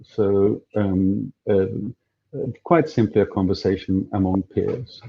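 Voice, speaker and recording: A man's voice: lowish voice, fairly even intonation, slow pace, vocal fry, breathy edge, dull audio. A man organizing an event, talking casually on a video call, hesitant with many fillers and restarts. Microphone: headphones on a video call.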